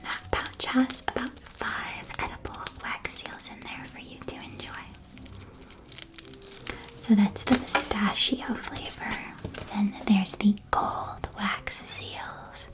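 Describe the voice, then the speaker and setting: A woman playing a kindly, chatty candy shopkeeper in a witch world, in an ASMR roleplay with gentle, helpful sales patter. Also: medium pitch, steady tone, slow pace, lightly acted.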